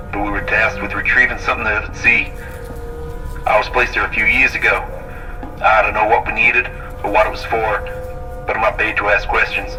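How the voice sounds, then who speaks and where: An actor playing a resigned navy captain who records his last testimony as a grave, deliberate monologue in an audio drama. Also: fairly low pitch, far from the mic, lightly acted, low-quality recording.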